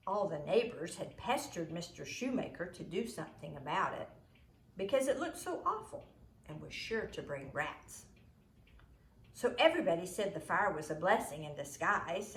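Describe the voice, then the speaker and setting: A woman reading a story aloud expressively, with deliberate, emphatic phrasing. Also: lowish voice, reverberant.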